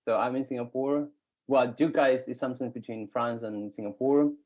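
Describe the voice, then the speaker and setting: A man's voice: mid-range voice, fairly even intonation, fairly quick, a little mellow. A man from Argentina introducing himself and his company informally as a startup co-founder on an online call. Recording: dull lo-fi call audio.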